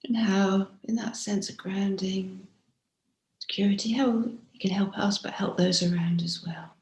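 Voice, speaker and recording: A woman guiding a body scan meditation as a teacher, speaking gently and softly, unhurried, with frequent pauses and breathy phrase endings. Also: mid-range voice, fairly even intonation. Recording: roomy, echoing room sound.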